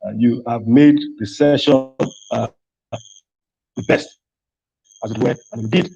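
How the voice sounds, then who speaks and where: A man with a Nigerian accent giving a speech of thanks as a summit host, effusive and grandiloquent, with halting phrasing, on lo-fi call audio. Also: medium pitch, steady tone, gravelly, mellow, slightly rough. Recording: dull, lo-fi online call audio.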